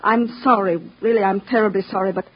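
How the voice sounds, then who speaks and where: A woman's voice: mid-range voice, fairly even intonation, quick pace, breathy edge, lightly acted. A woman playing an exasperated, protective housekeeper and mother, emotional and indignant, in an old radio drama. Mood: upset, resolute. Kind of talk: 1940s-50s radio acting. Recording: dull old radio broadcast recording.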